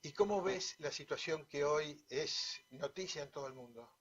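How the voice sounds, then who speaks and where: A man's voice: fairly high pitch, normal speed, a bit bright, husky. An Argentine man hosting a broadcast interview show, speaking with formal courtesy. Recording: dull, lo-fi audio.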